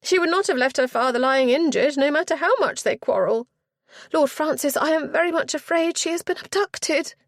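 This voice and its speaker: A woman voicing a frantic middle-aged female chaperone who talks in high, quivering alarm. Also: expressive, normal speed, creaky, no room sound, clean studio sound.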